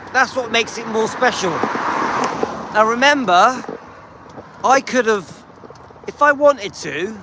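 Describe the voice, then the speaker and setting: A man's voice: sits high, background noise. An English man live streaming on a dog walk, chatting with viewers and reading out their comments in a rambling, friendly way. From England.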